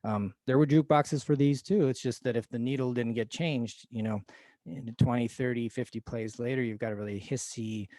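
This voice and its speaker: An enthusiastic man, a guest record collector, talking casually and haltingly about old music records. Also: mid-range voice, fairly even intonation, dark tone, no echo.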